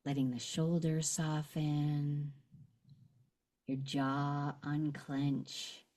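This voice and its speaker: A woman guides a meditation in a low, mellow voice. She speaks gently and very slowly, leaving long pauses between short phrases as she leads the listener through a visualization. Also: level tone.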